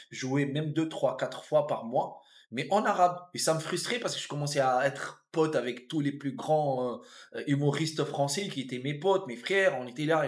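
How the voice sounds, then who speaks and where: A man in his fifties from Tunisia with a Tunisian accent, a comedian and actor telling his life story in a relaxed interview, thoughtful, with frequent restarts and fillers. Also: medium pitch. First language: Arabic. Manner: reflective, repeats and restarts words, many fillers.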